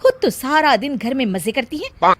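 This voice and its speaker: A woman voicing a young working daughter-in-law who is harried and resentful, in an animated moral-story style. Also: high voice, moderate pace, heavy background noise. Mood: complaining. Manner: animated, aggrieved. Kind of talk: Hindi moral story character acting.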